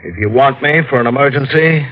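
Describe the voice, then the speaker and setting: An actor playing a skeptical, matter-of-fact police detective in 1940s radio acting. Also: male voice, medium pitch, a little fast, close to an everyday voice. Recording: muffled vintage radio recording.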